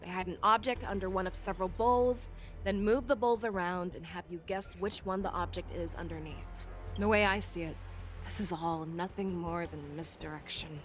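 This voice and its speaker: A composed warrior general acted by a woman for a video game, wise and authoritative. She speaks in a measured way in a slightly low voice, over soft music. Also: fairly even intonation, natural voice. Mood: calm, calculating.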